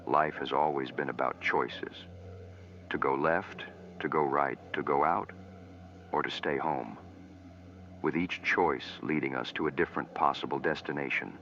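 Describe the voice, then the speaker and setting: A man as anthology host delivering a scripted horror introduction in an ominous, measured, theatrical way. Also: extremely low pitch, fairly bright tone, a little hiss or hum. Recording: dull, band-limited sound over music. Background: faint music.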